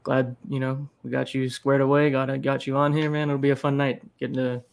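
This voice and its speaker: A man's voice: medium pitch, level tone, brisk, vocal fry, clear, resonant tone. A man hosting a casual livestream chat about collectibles, laid-back and easygoing, often calling people "man", speaking over a video call. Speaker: host.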